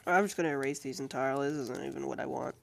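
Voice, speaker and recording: A woman artist chatting casually and with amusement on a livestream while she draws, in a rambling, animated way. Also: rumbling low, very fast, creaky. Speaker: comic artist streaming while drawing.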